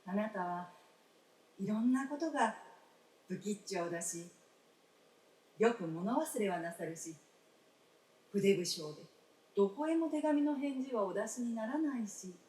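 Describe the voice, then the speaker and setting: Actress as a gently reproachful wife, roomy and distant. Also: female voice, medium pitch, expressive, measured pace, long pauses, close to an everyday voice. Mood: tender reproach. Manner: polite, old-fashioned feminine speech, gently reproachful. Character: gentle, frank dead wife speaking to her husband. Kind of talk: staged radio drama acting. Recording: roomy stage, distant mic, faint noise.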